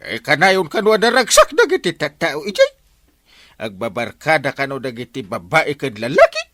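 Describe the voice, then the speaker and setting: A man's voice: fairly high pitch, wildly swooping pitch, moderate pace, a bit bright, no echo, studio quality. A man with an Ilocano Filipino accent playing a comical, gullible village captain in a radio comedy. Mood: animated.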